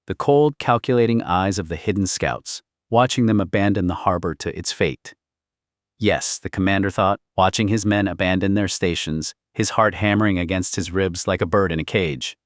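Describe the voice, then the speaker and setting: A low-pitched man reads a historical saga as an audiobook narrator. His delivery is theatrical and intense, building suspense throughout. The sound is dry and close, with very little room in it. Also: no echo. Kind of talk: dramatic scripted narration of historical fiction.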